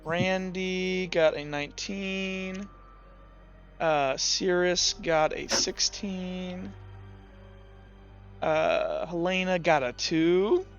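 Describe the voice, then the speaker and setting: A man's voice: high-pitched, fairly even intonation, very slow. A man acting as game master, improvising narration and handling table talk in a tabletop roleplaying game. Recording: dull muffled audio, music behind.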